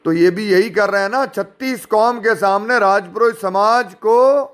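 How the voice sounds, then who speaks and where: Male, notably high voice; informal rebuttal delivered with mockery, indignation and pointed rhetorical questions. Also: a bit bright. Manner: sarcastic, mocking. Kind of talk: informal spoken rebuttal, addressing listeners directly.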